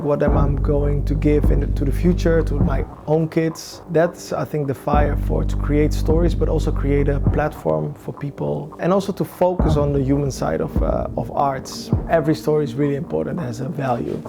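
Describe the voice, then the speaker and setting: Young man, choreographer; gravelly tone, careful English; clear music bed behind. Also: a little high. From Somalia. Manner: thoughtful, searching for words, non-native English phrasing. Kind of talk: reflective interview talk.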